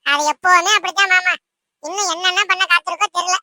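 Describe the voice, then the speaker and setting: A woman's voice: very high-pitched, steady tone, fast, sharp tone, dry recording, clean studio sound, exaggerated voice. A woman voicing a caring, gossipy young bride-to-be in a cartoon, chatty and emphatic. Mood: indignant gossip. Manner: chatty, emphatic, repeatedly seeking agreement. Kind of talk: amateur cartoon dialogue in colloquial speech.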